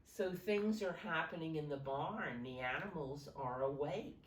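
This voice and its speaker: A woman reading a picture book aloud to young children as a teacher, pausing to explain simply and ask them questions. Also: low-pitched. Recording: roomy echo, distant from microphone.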